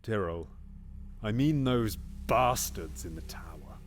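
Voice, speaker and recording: Scheming usurper king, Shakespearean audio drama, low voice, wildly varied pitch, menacing whispers and outbursts. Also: lively intonation, takes it slowly, slight background noise. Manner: shifts between menacing whispers and sudden outbursts.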